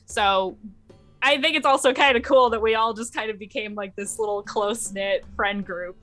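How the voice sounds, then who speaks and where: A woman's voice: medium pitch, normal speed, a bit bright, faint music behind. A woman speaking as an interview guest and game master, explaining things in casual chat.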